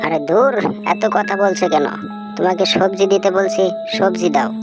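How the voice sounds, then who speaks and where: A woman's voice: lowish voice, moderate pace, music in the background, natural voice. A woman voicing a naive, literal-minded male fox husband in a comic cartoon. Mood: insistent, clueless.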